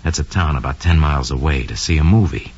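A very deep-voiced, smug killer. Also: male voice, fast talker, a little mellow, slightly rough. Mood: smug, calculating. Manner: sly, cold, boastful, slangy. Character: smug scheming young male murderer confessing his crime. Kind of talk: 1940s radio drama monologue. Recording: dull lo-fi old radio recording.

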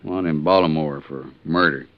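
An actor playing a plain-spoken, harried middle-aged Texas Ranger captain in a 1950s radio western, talking in a folksy, drawn-out way. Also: male voice, very deep voice. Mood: friendly, coaxing. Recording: dull vintage radio recording.

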